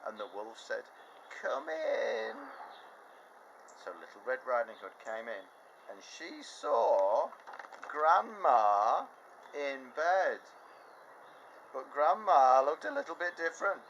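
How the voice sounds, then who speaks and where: A man reading a children's story aloud in his garden, slow and deliberate, with a voice that swoops up and down expressively. He lingers on words to build the tale. Faint birdsong and insects hum in the open air behind him. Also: mid-range voice, lo-fi sound. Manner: animated, expressive read-aloud. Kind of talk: reading a picture book aloud. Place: outdoors in a garden.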